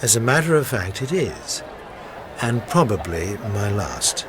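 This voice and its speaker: A man's voice: lowish voice, sing-song, unhurried. An actor playing a suave, menacing man of about fifty, urbane and drily amused, in a radio drama. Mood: coolly amused. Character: suave fifty-year-old male stranger, cold, amused, menacing. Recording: noisy outdoor crowd ambience behind.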